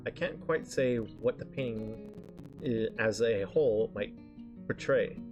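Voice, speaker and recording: A man reading visual novel narration aloud on a stream, haltingly, often stumbling over words and correcting himself. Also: lowish voice, dull audio. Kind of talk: live read-aloud of narration. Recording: guitar music behind the voice. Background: game background music with guitar.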